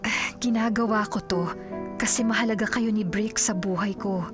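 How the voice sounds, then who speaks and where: A woman's voice: medium pitch, fairly even intonation, normal speed, over music, close to an everyday voice. An actress with a Filipino accent playing a devoted, self-sacrificing young woman in love in a radio drama. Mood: gentle, reassuring.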